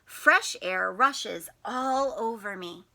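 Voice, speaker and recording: High, bright, lively woman reading to children. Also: takes it slowly, gravelly. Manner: sing-song, expressive, questioning young listeners. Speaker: teacher and storytime host. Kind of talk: children's picture-book read-aloud.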